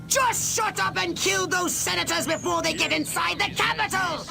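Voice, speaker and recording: A man voicing a pompous, excitable, scheming alien commander in a video game, sardonic and theatrical, with a shrill nasal voice. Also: normal speed, gravelly, heavily acted. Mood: frantic, commanding. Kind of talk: video game cutscene acting. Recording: loud music under the voice.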